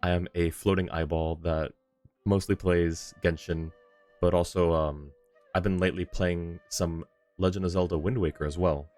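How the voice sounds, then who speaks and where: A man's voice: deep voice, flat delivery, normal speed, darkish tone, faint music behind. A man hosting a livestream, chatting casually and informally with his viewers in a friendly, welcoming manner. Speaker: livestream host. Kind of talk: casual gaming stream chat.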